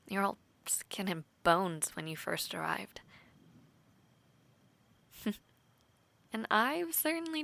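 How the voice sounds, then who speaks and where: Eaera, a gravelly bright woman voicing a young knight. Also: mid-range voice, dry recording, full character voice. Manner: soft, intimate, often whispery with light laughs and hesitant restarts. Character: young woman knight trainee, earnest and affectionate. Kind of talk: intimate audio roleplay.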